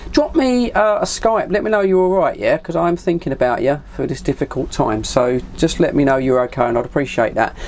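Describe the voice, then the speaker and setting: A man's voice: high voice, darkish tone. A middle-aged man with a southern English accent, chatting casually and rambling through anecdotes in colloquial language. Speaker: vlogger, CB radio hobbyist.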